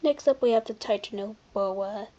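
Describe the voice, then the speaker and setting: A commentator giving casual, unscripted video commentary in an informal, halting way, often backtracking. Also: mid-range voice, warm tone, muffled recording. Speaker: video creator presenting a ranking.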